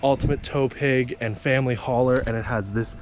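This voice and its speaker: A man's voice: mid-range voice, fairly even intonation, a little fast. A man in his twenties chatting casually to the camera as a vlogger, rambling and circling back over his own words. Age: 20s. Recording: loud vehicle and ambient noise.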